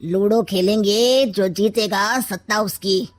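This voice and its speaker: A woman's voice: mid-range voice, slow, lightly acted. A woman voicing a sly, sarcastic, scheming older uncle in a comic family story, with a theatrical drawl. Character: scheming, sarcastic maternal uncle, middle-aged or older man.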